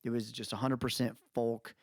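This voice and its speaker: A man's voice: medium pitch, little pitch movement, moderate pace, dry recording, studio quality. A man co-hosting a podcast, talking casually and opinionatedly about music in a rambling, storytelling way. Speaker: podcast co-host.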